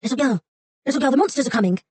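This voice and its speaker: A woman's voice: high voice, animated, rapid-fire, a little mellow, husky, no echo, pitched above the performer's own voice. A woman playing a cunning fox spirit. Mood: sweet sly. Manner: coaxing and sweet, later stern and haughty. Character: sly, cunning fox spirit, sweet then haughty.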